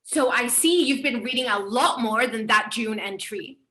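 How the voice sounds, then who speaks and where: An anxious woman author speaks softly and hesitantly, as a woman reads her at a table read. Her voice sits a little high, and she is quick to sound guarded and exposed. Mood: hurt. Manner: soft and hesitant, rising to alarmed cries.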